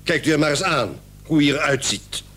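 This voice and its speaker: Radio drama doctor: raspy, slightly high, irritable, self-righteous scold. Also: male voice, moderate pace, fairly bright tone. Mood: exasperated. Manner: pompous, scolding. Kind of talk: radio play acting.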